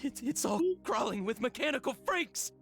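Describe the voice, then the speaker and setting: Panicky game villager, stammery, paranoid, pitch jumping all over, music behind. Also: male voice, lively intonation, moderate pace, gravelly, fairly bright tone, full character voice. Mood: frightened, suspicious. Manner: stammering, frantic, erratic. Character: panicky, paranoid villager with a rattled, unravelling mind. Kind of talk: English game voice acting.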